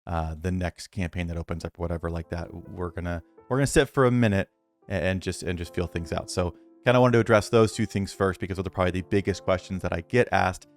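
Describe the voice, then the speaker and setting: A man with a deep, low voice talks straight to his viewers in a loose, unscripted way. He is earnest and rambling, restarts his sentences, and leans on plenty of ums and uhs. Soft music plays faintly behind him. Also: steady tone, quick pace. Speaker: mobile strategy game content creator. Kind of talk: casual talk to viewers.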